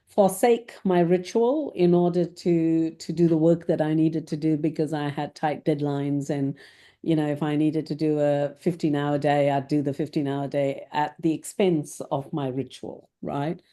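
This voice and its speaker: A woman's voice: low voice, steady tone, normal speed, mellow. A middle-aged woman from Malaysia speaking as a tech executive guest, thinking aloud and often tagging her points with "right?". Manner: thoughtful, tags points with "right?". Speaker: CIO guest. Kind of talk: reflective interview talk.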